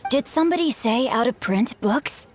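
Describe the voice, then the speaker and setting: A woman plays a refined young male scholar in a video game. The voice is fairly high and youthful, with gallant, formal, flowery politeness. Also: expressive, normal speed, faint music behind, lightly acted. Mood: gallant, polite.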